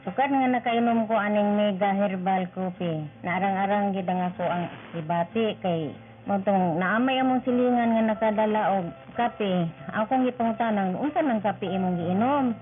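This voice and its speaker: A woman's voice: medium pitch, moderate pace. A woman in her seventies with a Visayan accent giving a simple testimonial. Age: 70s. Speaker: testimonial giver. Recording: tinny radio broadcast, music behind.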